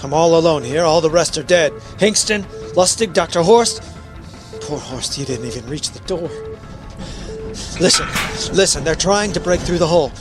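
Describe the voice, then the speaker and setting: An earnest, anxious space captain played by a male actor in a radio play. His voice sits high for a man and he delivers his lines with old-time dramatic urgency. Faint music runs underneath. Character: anxious male rocket captain stranded on Mars.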